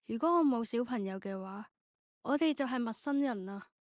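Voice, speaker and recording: A woman's voice: on the high side, moderate pace, dry recording, studio quality, close to an everyday voice. A woman playing a hurt, anxious, loving wife in a radio drama, plaintive and searching. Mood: hurt, doubting. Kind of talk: Cantonese radio drama acting.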